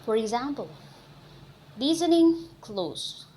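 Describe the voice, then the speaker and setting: A woman's voice: on the high side, sing-song, takes it slowly, dark tone, rough recording. A young woman with an Indonesian accent, presenting a lesson from slides, reading in short, careful phrases. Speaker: student presenter.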